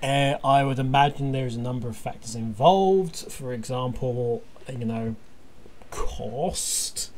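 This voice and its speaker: A man's voice: medium pitch, unhurried, a little mellow. A man hosting a casual fan commentary chat in a jokey, playfully exaggerating manner. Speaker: podcast host.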